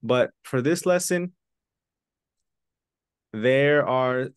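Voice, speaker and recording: A man teaches English over a video call, speaking slowly and patiently and repeating each word for his class. His voice sits at a middle pitch, and the sound is dry and close to the mic. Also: clear, resonant tone, dry recording. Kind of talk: online English lesson, explaining in English and Spanish. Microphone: video call webcam.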